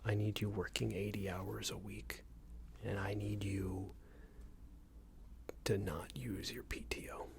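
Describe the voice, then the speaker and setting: A man playing a smug, unreasonable boss in an ASMR roleplay, scolding an employee in a soft breathy whisper with a hesitant, condescending manner. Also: flat delivery, measured pace, noisy background. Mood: condescending, disapproving. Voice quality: breathy soft whisper with low creaky murmur. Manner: hesitant, condescending, meandering reprimand.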